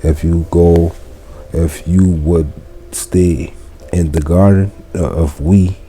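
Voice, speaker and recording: A man's voice: low-pitched, fairly even intonation, dark tone, faint music behind. A man reading a Latin textbook aloud, translating line by line and correcting himself as he goes. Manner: slow, stops to correct and gloss words. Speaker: Latin tutor.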